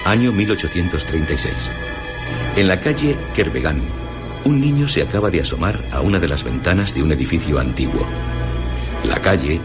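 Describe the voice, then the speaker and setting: A man with a Castilian Spanish accent presenting literary radio stories in a measured, dramatic scripted narration. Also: sits low. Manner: measured, dramatic storyteller delivery. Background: music behind the voice.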